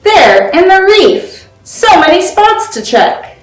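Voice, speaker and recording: A woman's voice: extremely high pitch, big pitch swings, slow pace. A woman who volunteers as a story time reader, speaking to children as she reads picture books aloud. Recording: roomy echo, distant mic, music behind.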